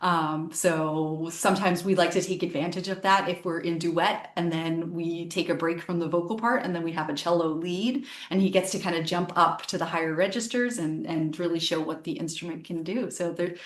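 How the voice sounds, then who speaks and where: A woman's voice: lowish voice, fairly even intonation, moderate pace, hardly any pauses, roomy sound. A woman harpist and singer from the United States, answering interview questions in a chatty way, thinking aloud with many ums and restarts. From Northern Virginia, USA.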